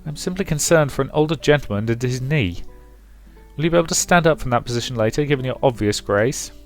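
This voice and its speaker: A man's voice: mid-range voice, lively intonation, fast, faint music behind, pitched above the performer's own voice, natural voice. A man voicing a playful, bossy young noblewoman in a visual novel, teasing and mock-stern.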